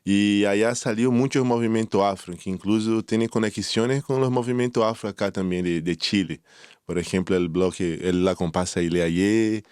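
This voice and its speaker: A man from Bahia, Brazil, speaking Spanish as an as an interview guest, measured and prone to small restarts. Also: low voice, darkish tone, clear, resonant tone, no room sound, studio quality. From Salvador de Bahia, Brazil. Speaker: guest, anthropologist. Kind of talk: conversational interview.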